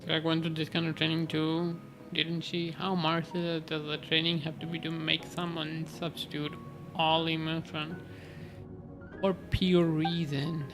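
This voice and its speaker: A woman's voice: deep voice, level tone, unhurried, low-quality recording. A woman doing casual gameplay commentary and reading dialogue aloud, reactive and prone to exclaiming. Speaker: gaming streamer. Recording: game music behind the voice.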